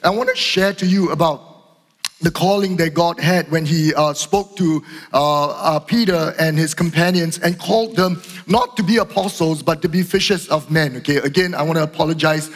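A middle-aged man preaching a sermon as pastor, earnest and repetitive, slightly hoarse from a cough. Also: on the high side, moderate pace. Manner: earnest, repeats phrases, fillers and restarts. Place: church auditorium. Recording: long hall reverb.

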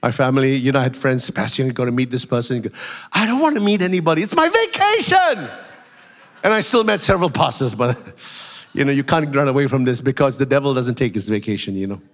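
A man preaching a sermon as pastor, a little nasal from a flu, building up to shouted, slowed-down emphasis. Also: mid-range voice, big pitch swings. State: has a flu.

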